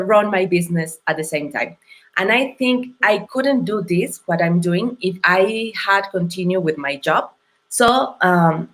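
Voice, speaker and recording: A woman from Mexico with a Mexican accent, a non-native English speaker, telling her story as an interview guest in an earnest, thoughtful and halting way. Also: medium pitch, fairly bright tone. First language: Spanish. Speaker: guest entrepreneur.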